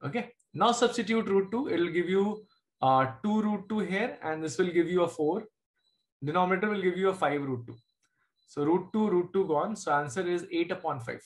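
A man in his thirties with an Indian English accent, Hindi his mother tongue, teaching a math class over a video call. His voice sits high for a man as he works through each step and keeps checking that students follow. He is heard through a computer mic in a room at home. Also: moderate pace. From Jharkhand, India.

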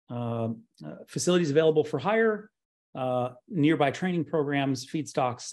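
A middle-aged man giving an online slide presentation in halting, pause-filled phrases. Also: mid-range voice, darkish tone. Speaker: conference presenter, nonprofit co-founder. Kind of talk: slide presentation at a virtual expo. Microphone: webcam on a video call.

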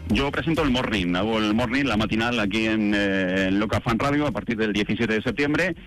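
A man's voice: fairly low pitch, brisk, fairly bright tone, faint music behind. A man with a Spanish accent, a radio presenter chatting informally in a live phone interview. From Spain. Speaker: radio morning show presenter, phone guest. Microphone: telephone call into studio.

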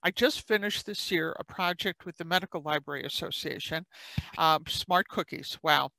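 A woman's voice: lowish voice, moderate pace, sharp tone, dry recording, poor audio. A woman from the South Side of Chicago with a Chicago accent, giving a chatty online workshop presentation and acting out quoted speech.

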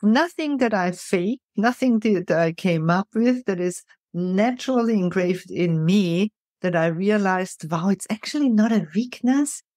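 A woman's voice: mid-range voice, unhurried, no room sound, studio quality. A middle-aged woman with a mixed European accent, telling stories emphatically as an interview guest. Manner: animated, emphatic storyteller. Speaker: guest, keynote speaker. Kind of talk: storytelling interview answers.

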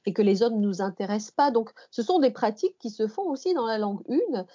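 Female podcast expert, mellow and slightly high, explanatory delivery with rephrasing, dull audio. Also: lively intonation, brisk, slightly rough. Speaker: guest expert, language teacher and researcher. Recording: muffled, band-limited podcast audio.

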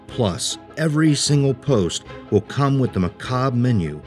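A man's voice: mid-range voice, darkish tone. A man reading a scripted promotional voice-over as an announcer.

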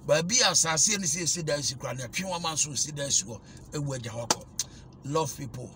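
A man's voice: on the high side, quick pace, background noise. A middle-aged Ghanaian man preaching emphatically into a dashboard phone while driving. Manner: emphatic, preachy, repeats blessings. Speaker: evangelist. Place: inside a small car.

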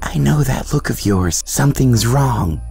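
A man's voice: mid-range voice, lively intonation, unhurried, creaky, a little mellow, slightly rough, soft background music, exaggerated voice. A man voicing a wry, skeptical but loyal talking dog in a children's cartoon. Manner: wry, reluctant. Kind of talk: animated children's cartoon acting.

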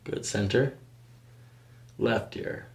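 A middle-aged man working as a chiropractor, giving calm, short, soothing instructions during an ASMR treatment. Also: drawn-out words. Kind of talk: hands-on treatment talk, ASMR. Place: small office treatment room. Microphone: microphone on a boom nearby.

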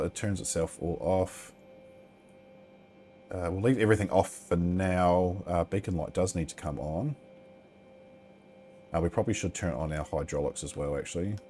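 Low-voiced Kiwi man rambling through a flight. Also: a little mellow, a little hiss or hum. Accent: New Zealand. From New Zealand. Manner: rambling, many ums, mildly sweary. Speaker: flight sim streamer. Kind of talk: casual gameplay commentary. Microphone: headset.

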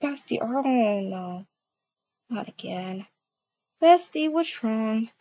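A woman's voice: a little high, lively intonation, slow, mellow, highs cut off. A woman speaking casually as she improvises a game roleplay and chats to viewers. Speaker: video creator acting out a roleplay.

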